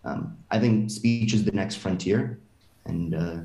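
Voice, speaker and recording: Male tech CEO panelist, lowish voice, hesitant delivery, roomy call sound. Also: unhurried, warm tone, faint music behind. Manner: hesitant, lots of um and restarts. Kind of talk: panel discussion on a video call.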